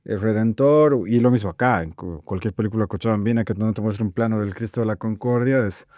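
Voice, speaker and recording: A man's voice: on the low side, brisk, warm tone, no echo. A man with a Bolivian Spanish accent, co-hosting a podcast with conversational film criticism.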